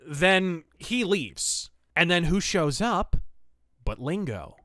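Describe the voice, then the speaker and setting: A man's voice: on the high side, fairly bright tone, no room sound, clean studio sound. A man hosting a podcast, reading pulp fiction aloud and reviewing it. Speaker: podcast host.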